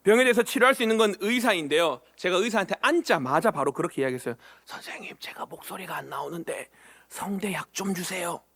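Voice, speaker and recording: High-voiced Korean pastor preaching. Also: male voice, lively intonation, fast, vocal fry, fairly bright tone. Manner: asks the congregation questions, acts out short quoted lines. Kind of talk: sermon. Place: church sanctuary.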